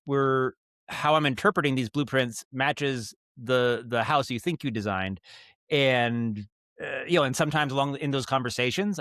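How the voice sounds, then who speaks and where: An American man speaking as a podcast guest, telling stories in a casual, rambling and animated way. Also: medium pitch, no room sound. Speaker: podcast guest, audio drama writer and producer. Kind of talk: casual interview storytelling.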